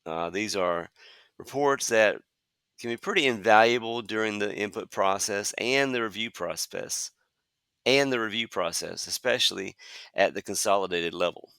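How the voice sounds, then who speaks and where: Guy in his 50s doing his solo podcast, bit hoarse from a cold, says uh a lot, bright voice, dry close mic. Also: lowish voice, moderate pace, no echo. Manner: conversational, frequent "uh" fillers. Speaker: podcast host.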